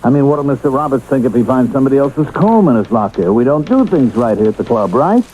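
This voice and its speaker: A man's voice: mid-range voice, animated, fast, lightly acted. An actor in a radio drama playing a middle-aged ex-convict handyman, anxious, humble and earnestly defensive. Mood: protesting, anxious. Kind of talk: radio drama acting with first-person narration. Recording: muffled old radio broadcast, faint noise.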